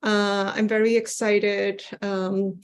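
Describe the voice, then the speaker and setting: A woman who grew up in Ecuador presents her own artwork in an online seminar. She sounds earnest and unhurried, and she fills her pauses with "uh" and "um". Her pitch sits in a plain middle range, and she is heard through a video call. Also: level tone. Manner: earnest, with frequent "uh" and "um" fillers. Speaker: guest artist presenting her work.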